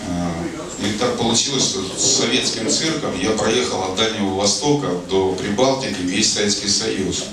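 A middle-aged man from the Soviet Union speaking and reciting poetry on stage, in a measured, declamatory manner. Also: fairly low pitch, distant mic. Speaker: poet and performer at a bard club evening. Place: small club stage, indoors. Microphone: handheld stage microphone. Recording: echoey club PA from afar, noisy.

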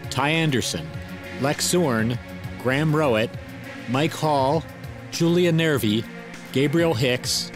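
A man reading scripted podcast credits as the show's announcer. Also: mid-range voice.

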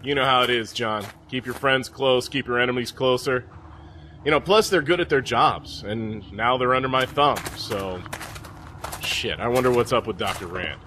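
Casting: male actor as seasoned space captain, stern and sardonic, forceful bright voice, faint noise behind, sci-fi audio drama. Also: mid-range voice, normal speed, natural voice. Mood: wry, confiding. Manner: mocking, folksy, commanding.

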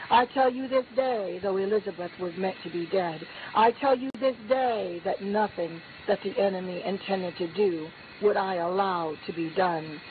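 A woman's voice: medium pitch, moderate pace, few pauses. A woman speaks a prophecy in the first person as God, with a solemn, chanting cadence that climbs on each refrain. The sound comes through a narrow, phone-like line. A faint hiss sits underneath. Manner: solemn, repetitive declaring cadence, lifting on key phrases. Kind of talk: prophetic utterance spoken as God.

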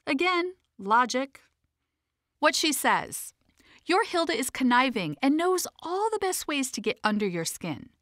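A woman's voice: high-pitched, sing-song, measured pace, fairly bright tone, dry recording, studio quality. A woman reading her own self-help audiobook in a conversational, emphatic and playfully informal way.

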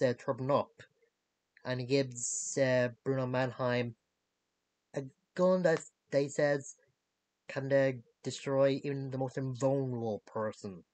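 Young man, casual reviewer, slow and halting, searching for words, medium pitch, dry close room sound. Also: a little mellow, dry recording. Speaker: reviewer of animated series. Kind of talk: casual episode recap. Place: small cluttered bedroom, indoors.

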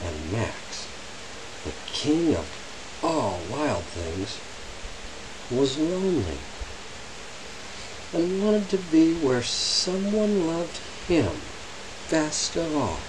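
A man reading a children's picture book aloud with dramatic, drawn-out phrasing. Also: a little high, sing-song. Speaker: storybook reader. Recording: hissy, noisy home recording.

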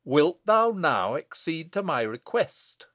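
A man voicing a sinister, menacing wizard who speaks deliberate archaic English in a gothic storybook. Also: a little high, sing-song, fairly bright tone, dry recording, studio quality, full character voice. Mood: menacing, significant. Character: sinister, menacing male wizard taunting his captive.

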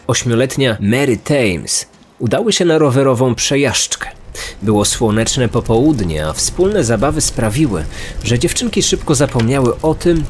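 A man's voice: mid-range voice, slight background noise. A man hosting a podcast, reading a scripted true-crime narration. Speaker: podcast host. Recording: music under the voice. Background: background music.

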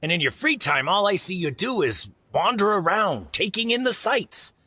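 An actor playing a gruff but decent, exasperated male merchant in a video game, with a husky voice. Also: high voice, big pitch swings, normal speed, pushed and bright, no room sound, heavily acted. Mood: frank, puzzled.

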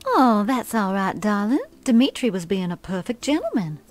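A woman's voice: mid-range voice, wildly swooping pitch, normal speed, soft background music. A woman voicing a flirtatious belle in a video game, calling everyone sugar and darling. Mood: teasing. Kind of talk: video game character dialogue.

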